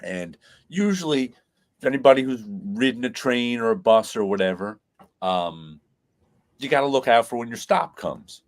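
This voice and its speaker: A man hosting a casual live chat, congested from sinus trouble, rambling through stories with frequent laughs and restarts. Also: mid-range voice. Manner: rambling storyteller who laughs often and restarts phrases. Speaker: host.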